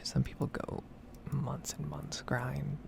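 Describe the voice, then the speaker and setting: A woman speaking in a breathy whisper, rambling casually with some swearing in an ASMR gaming video. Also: rumbling low, little pitch movement, slow pace.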